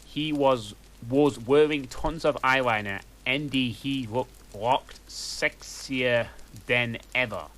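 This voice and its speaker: A man's voice: medium pitch, slow pace, fairly bright tone. A man reading a story aloud for laughs at home, with dry, mocking asides between lines. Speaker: reader. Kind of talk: comic reading of a fanfic.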